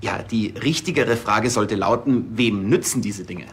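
A man's voice: lowish voice, a little slow, a little hiss or hum, natural voice. An actor playing a self-serious, bumbling junior policeman in a TV comedy, pedantic and hesitant. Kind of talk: naturalistic TV comedy acting.

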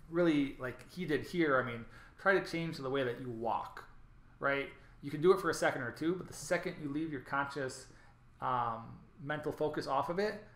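A man's voice: mid-range voice, a bit bright, reverberant. A man presenting an online training, explaining things over slides with frequent ums and restarts. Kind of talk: recorded lecture over slides.

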